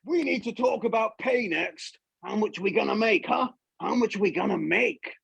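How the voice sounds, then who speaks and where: A man's voice: normal speed, fairly bright tone, dry recording, dull audio, heavily acted. A man voicing a brash, boastful puppet who plays the ladies' man, with a pushy, emphatic way of talking in a comic interview. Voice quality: high, creaky, raspy. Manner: cocky, pushy, emphatic, repeats himself. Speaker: puppet podcast guest.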